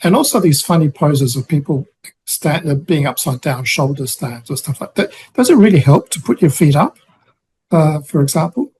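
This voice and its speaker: A slightly higher, very husky male voice asking questions in a relaxed interview. He sounds curious and conversational, with hesitant fillers. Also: moderate pace, poor audio. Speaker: interviewer host.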